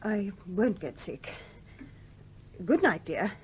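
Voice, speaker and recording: A woman's voice: medium pitch, sing-song, slow, slight background noise. An actress playing a prim, proper and firm mother in a radio play, reproving and easily flustered. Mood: concerned. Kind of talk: British radio play acting.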